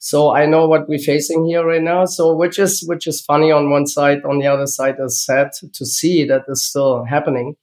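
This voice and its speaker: A man in his fifties with a German accent tells his story in English, a language he learned later, in a fairly high voice. He pauses often and hunts for words, filling the gaps with ums and uhs. The pace is steady, as in an interview. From East Germany. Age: 50s. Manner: halting, searching for English words, frequent fillers. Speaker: guest, former gymnast.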